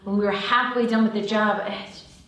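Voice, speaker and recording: A woman's voice: mid-range voice, steady tone, a little fast, fairly bright tone, dull audio, lightly acted. Cautious woman thief in an echoey room actress. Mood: worried. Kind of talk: table read of a screenplay. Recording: very echoey room, distant mic.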